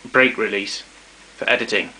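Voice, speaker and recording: A man narrating a casual show-and-tell of his tape recorders, explaining step by step with pauses and fillers. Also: fairly low pitch, steady tone, pushed and bright, a little hiss or hum, low-quality recording.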